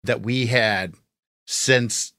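A man's voice: medium pitch, steady tone, extremely slow, pushed and bright, no echo, studio quality. A man co-hosting a podcast, chatting informally and enthusiastically like a fan. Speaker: podcast co-host. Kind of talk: casual fan discussion.

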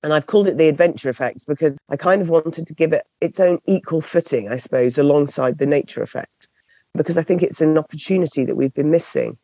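A woman with a croaky, hoarse voice, answering interview questions thoughtfully and often repeating words as she searches for phrasing. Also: low voice, quick pace, mellow, no room sound, lo-fi sound. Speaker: podcast guest.

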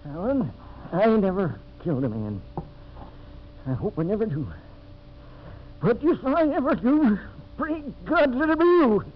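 A man's voice: sits high, very animated, a little slow, long pauses. A man acting a grim, righteous frontier lawman in a 1950s radio drama, intense, emphatic and accusing. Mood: bitter, accusing. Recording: muffled, noisy 1950s radio recording.

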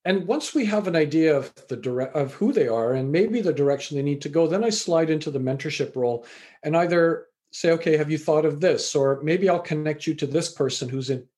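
A middle-aged man from Canada with a fairly high voice, telling his story in a reflective, conversational way. He often pauses on fillers as he gathers his thoughts, as an author would in an interview. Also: a little mellow. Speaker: author and university lecturer.

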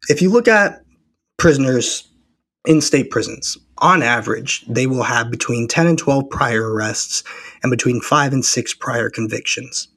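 A man's voice: mid-range voice, breathy edge, dry recording, studio quality. An American man speaking as an interview guest, explaining in an analytical, argumentative and precise manner. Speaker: interview guest, policy researcher.

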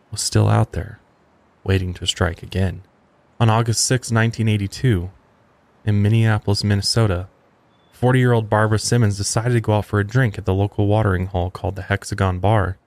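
Guy narrating a true crime script, lower voice w some gravel, really dry recording. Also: darkish tone, no echo. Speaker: true crime podcast host.

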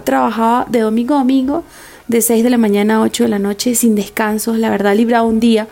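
A woman's voice: on the high side, vocal fry, dark tone. A woman in her twenties with a Venezuelan Andean accent, telling her personal story on a podcast. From Táchira, Venezuela. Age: 20s. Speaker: podcast guest, makeup artist.

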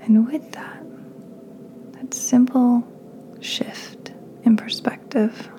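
Soft, very mellow woman guiding meditation. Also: on the high side, whispered, rough recording. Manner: calm, soothing, unhurried pauses. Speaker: podcast host, meditation guide.

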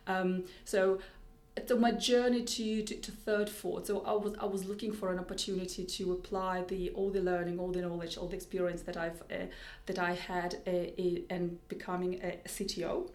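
A woman's voice: on the low side, flat delivery, normal speed, a little mellow, reverberant. A woman with a Russian accent, a tech executive guest on a podcast, speaking thoughtfully with many restarts and repeated words. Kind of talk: podcast interview.

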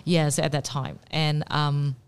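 A woman, telling her story in a casual interview, animated and full of fillers and restarts. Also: low-pitched, slow, clean tone. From Indonesia. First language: Indonesian. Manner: animated, many fillers and restarts, laughs readily. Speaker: pastry chef and business owner.

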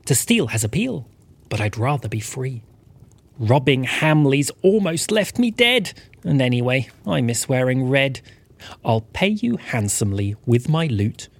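A man's voice: mid-range voice, very animated, a little slow, creaky, no room sound, heavily acted. A man voicing a desperate former Santa turned thief, pleading theatrically in a rhyming audio comedy. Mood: pleading, rueful. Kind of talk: rhyming comic audio drama.

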